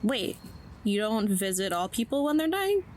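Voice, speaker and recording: A woman's voice: mid-range voice, big pitch swings, normal speed, darkish tone, slight background noise, natural voice. A woman playing a wry, awkward teenage schoolgirl in an audio drama, talking in a dry, casual way. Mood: wry, deadpan. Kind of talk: naturalistic audio drama acting.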